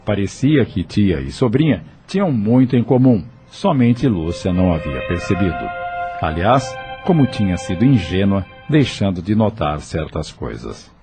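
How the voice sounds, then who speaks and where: A man's voice: deep voice, sing-song, moderate pace, music in the background. A man narrating an audio novel in a dramatic, scripted storytelling style.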